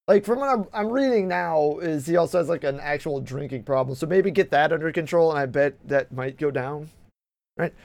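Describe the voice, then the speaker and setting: Dry-sounding, higher-voiced chatty man. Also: animated, a little fast, creaky, darkish tone, no echo. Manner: rambling, profane, stumbles and restarts often. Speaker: podcast host. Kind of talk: casual banter.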